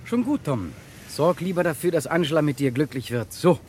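An actor playing a composed, wry police detective in a 1960s German radio crime drama. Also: male voice, on the high side, animated, fairly quick, darkish tone, lightly acted. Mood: calm, wry. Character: avuncular, composed male police detective lead. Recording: faintly noisy 1960s radio recording.

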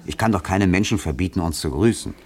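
A man's voice: sits low, fast talker, darkish tone, slightly rough. A man acting an uneasy, evasive husband in a German radio play, placating as he talks. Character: evasive, uneasy married man hiding his past. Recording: vintage radio play, faint music.

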